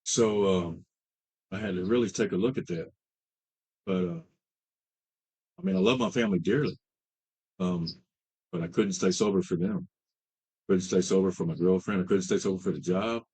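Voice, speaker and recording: A man in his late fifties with a very low, husky voice shares his recovery story as a treatment client. He talks haltingly, with many fillers and restarts. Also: expressive, darkish tone. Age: 50s. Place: treatment center.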